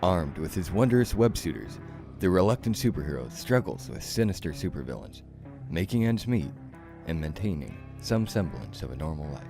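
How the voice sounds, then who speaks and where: A man's voice: low-pitched, sing-song, normal speed. A man delivering dramatic scripted narration as an announcer for an audio drama. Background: music.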